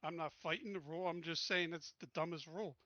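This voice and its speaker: A man's voice: a little high, fairly even intonation, fast, pushed and bright, dry recording, muffled recording. A man playing in a tabletop game, insistent and complaining about a rule. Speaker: player. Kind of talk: casual chat.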